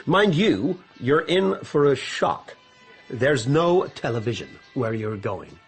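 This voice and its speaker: Condescending, long-winded official guide, pompous recital with lively intonation, radio drama. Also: male voice, medium pitch, slow pace, faint music behind. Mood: affable. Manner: pompous, droning official recital with throat-clearing.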